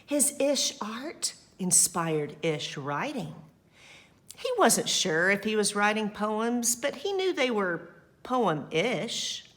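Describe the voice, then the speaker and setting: Slow, encouraging woman reading to children. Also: medium pitch, sing-song, a bit bright. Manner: affectionate, encouraging, addressing young children directly. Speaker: school librarian reading aloud to children. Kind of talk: expressive picture-book read-aloud with chatty asides.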